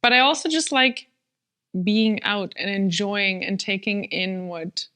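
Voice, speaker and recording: A woman's voice: mid-range voice, unhurried, pushed and bright, clean tone. A woman from Germany, a native German speaker, co-hosting a podcast in earnest, thoughtful chat. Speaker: podcast co-host. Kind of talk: casual chat.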